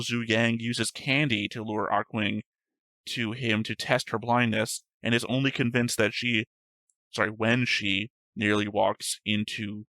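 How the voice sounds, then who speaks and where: A man's voice: mid-range voice, pushed and bright, dry recording, poor audio. A man hosting a reaction show, reading notes aloud in casual chat and often correcting himself.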